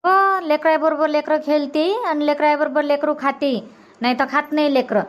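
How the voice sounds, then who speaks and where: Give the role to a woman acting as an older village mother in a comedy cartoon. She has a high voice and a Varhadi Marathi accent. Her manner is homely, polite and practical, with plain colloquial wording. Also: fairly even intonation, moderate pace, close to an everyday voice. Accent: Varhadi Marathi from Vidarbha.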